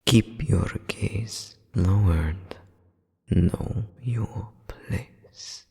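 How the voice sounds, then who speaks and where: Man playing a whispering, gravelly, cruel demon noble. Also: lowish voice, warm tone, heavily acted. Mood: cold contempt. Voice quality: breathy, gravelly half-whisper. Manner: slow, haughty, formal, menacing delivery. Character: cold, domineering noble demon older brother, cruel, disdainful. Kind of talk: scripted ASMR roleplay audio drama. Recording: long cavernous reverb.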